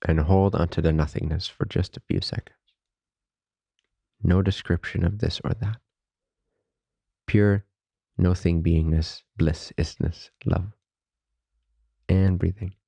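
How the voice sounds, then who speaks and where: A man leading a guided meditation as a teacher, speaking softly, slowly and calmly in reverent phrases with long pauses. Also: low voice, vocal fry, breathy edge, dry recording. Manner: calm, unhurried, reverent spiritual phrasing.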